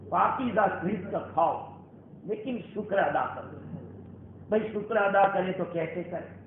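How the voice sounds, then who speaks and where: High-voiced male preacher, heavy echo. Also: creaky, phone-quality sound. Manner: exhortative, rhetorical questions, swelling and dropping emphasis. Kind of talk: Friday sermon. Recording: band-limited, very echoey hall, faint noise.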